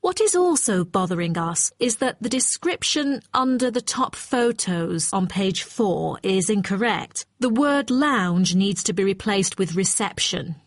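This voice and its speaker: A woman's voice: medium pitch, animated, measured pace, few pauses, dry recording, clean studio sound. A woman playing an exacting, matter-of-fact hotel client in a scripted listening-test dialogue. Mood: businesslike, firm. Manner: formal, precise, matter-of-fact. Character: brisk, exacting female hotel client requesting brochure revisions.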